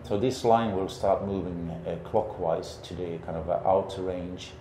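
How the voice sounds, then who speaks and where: A middle-aged man calmly coaching a pilot step by step in a flight simulator, encouraging and full of pauses and fillers. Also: low-pitched. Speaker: flight instructor. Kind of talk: patient step-by-step instruction. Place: indoor flight simulator dome. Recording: roomy with background hum.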